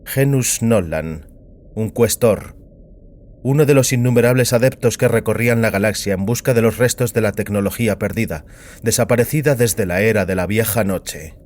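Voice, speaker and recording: A man narrating a scripted dramatic story in a solemn, deliberate manner. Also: fairly low pitch, a little mellow. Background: music.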